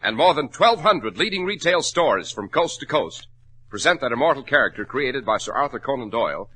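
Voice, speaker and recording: A man's voice: mid-range voice, big pitch swings, fairly quick, sharp tone, husky, low-quality recording. An American man announcing in a polished, salesmanlike 1940s radio style as host and sponsor spokesman.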